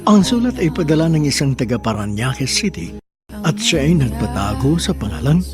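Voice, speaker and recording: A Filipino narrator hosts a radio drama in a sentimental, scripted style. The voice is mid-pitched, and music plays prominently behind it. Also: big pitch swings, normal speed.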